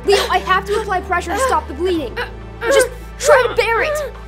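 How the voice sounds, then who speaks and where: Woman playing teenage mutant turtle boy, comic dub, high pitch, frantic, strained, urgent. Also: animated, moderate pace, over music. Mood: panicked, urgent.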